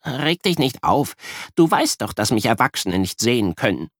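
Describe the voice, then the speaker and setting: A cheeky, bossy invisible friend for a children's radio story, put on by a man. The voice sits high and swoops all over, teasing and impatient, recorded close and dry. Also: very animated, normal speed, no room sound. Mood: soothing.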